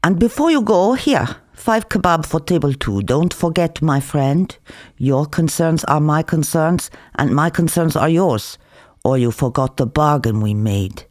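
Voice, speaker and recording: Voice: mid-range voice, animated, unhurried, darkish tone, lightly acted. A narrator putting on a scheming, wheedling militant man. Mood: cajoling. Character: scheming, wheedling male Middle Eastern militant café worker.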